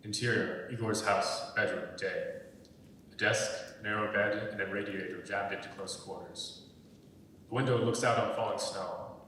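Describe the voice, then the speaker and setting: A man's voice: steady tone, moderate pace, bright, forceful tone. A young man narrating the stage directions of a script. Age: young adult. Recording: very echoey hall, distant mic, faint rumbling noise.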